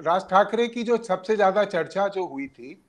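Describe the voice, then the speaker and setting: A man giving unscripted political analysis as a journalist, speaking haltingly with hesitant pauses mid-sentence. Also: high voice, lively intonation.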